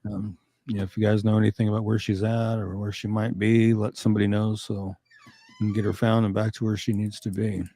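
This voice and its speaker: Mellow-voiced man in his fifties. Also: fairly low pitch, flat delivery, no echo, faint music behind. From Kansas. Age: 50s. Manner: easygoing, laughs often, reads details slowly. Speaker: co-host. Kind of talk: casual chat and missing-person appeal.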